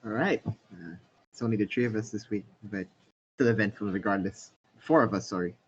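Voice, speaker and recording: A young man hosting a casual podcast, talking in a relaxed conversational way with some fillers. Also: fairly low pitch, brisk, slightly rough. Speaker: podcast host. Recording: dull lo-fi mic.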